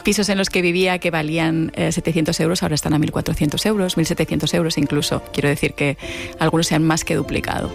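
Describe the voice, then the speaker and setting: Fast, gravelly speaker. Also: mid-range voice, fairly even intonation, music in the background. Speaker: interviewee. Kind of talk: interview testimony.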